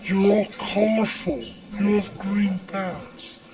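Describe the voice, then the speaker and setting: A man exclaiming casually in repeated short phrases, his voice altered by a pitch-shifting voice changer. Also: level tone, slow pace, music in the background.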